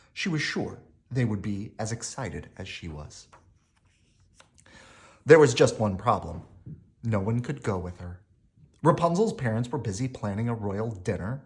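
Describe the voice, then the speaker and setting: Low-voiced man, very animated storybook reader. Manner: animated, emphatic phrasing. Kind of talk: expressive children's bedtime story read-aloud.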